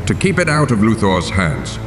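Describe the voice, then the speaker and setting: An actor playing a principled older butler in an audio drama, wry, measured and gently reproving. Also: male voice, on the low side, huge pitch swings. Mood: wry reproach. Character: dry, principled older male butler and confidant. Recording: roomy sound with music behind.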